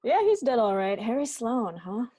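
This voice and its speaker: A woman as a brisk, higher-voiced police captain. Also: sing-song, mellow, slightly rough. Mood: brisk, probing. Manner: terse, businesslike, clipped questioning. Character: brisk, grumbling male police homicide captain. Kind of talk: radio detective drama acting.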